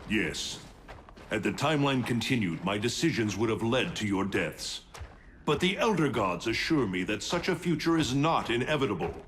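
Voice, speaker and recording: An actor playing a noble, protective god and leader in a video game, formal, grave and deliberate. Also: male voice, medium pitch, lively intonation, a bit bright, a little hiss or hum, lightly acted. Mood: solemn reassurance. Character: solemn male thunder god, noble, protective mentor leader. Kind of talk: video game cutscene acting.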